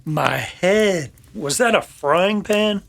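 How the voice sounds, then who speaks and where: A dazed, wry cowboy. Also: male voice, high-pitched, animated, takes it slowly, creaky, fairly bright tone, exaggerated voice. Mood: dazed, groaning.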